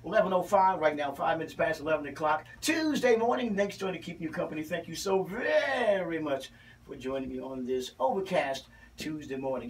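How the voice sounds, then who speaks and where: A man hosting a casual radio interview. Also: on the high side. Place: small radio studio, indoors. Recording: distant from the microphone.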